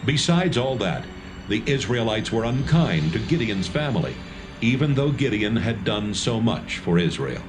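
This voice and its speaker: A man narrating a dramatised Bible reading in a steady, measured storytelling manner. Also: lowish voice. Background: music score.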